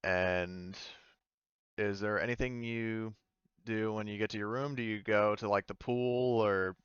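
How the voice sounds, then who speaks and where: A man's voice: on the low side, fairly even intonation, measured pace, a bit bright, clean tone, dry recording, muffled recording. A man narrating an improvised tabletop game as game master, tentative and often thinking aloud. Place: indoors, online voice call.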